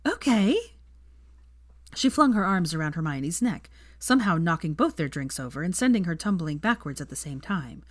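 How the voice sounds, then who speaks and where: Animated woman narrating a story, dry recording. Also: deep voice, a little fast, few pauses, no room sound. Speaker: fan fiction audiobook narrator.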